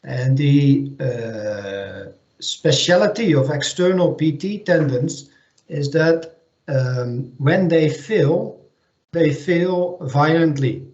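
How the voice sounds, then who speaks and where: Slow, hesitant man on an echoey call. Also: fairly high pitch, a little mellow. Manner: hesitant, many ums and restarts. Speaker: technical manager presenting at a seminar. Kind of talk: online technical presentation. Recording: echoey lo-fi video call audio.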